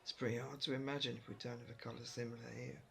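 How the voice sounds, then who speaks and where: Helpful, curious storekeeper from another world , male actor, relaxed explainer, creaky mid-range voice, audio roleplay. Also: mid-range voice, rapid-fire, slight background noise. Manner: easygoing, inquisitive, explanatory. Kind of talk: roleplay audio drama addressed to the listener.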